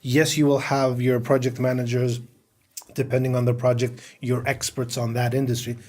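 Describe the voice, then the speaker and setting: Middle-aged man, raised in Greece, podcast guest, anecdotal storytelling, average pitch. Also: little pitch movement, normal speed, a little mellow. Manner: storytelling, anecdotal, laughs while talking. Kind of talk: casual interview chat.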